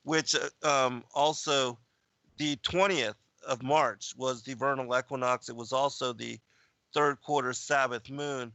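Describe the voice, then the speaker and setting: A male guest explaining calendar dates in a casual talk, halting with many fillers. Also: medium pitch, gravelly, pushed and bright, dry recording. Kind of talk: casual discussion of biblical calendar.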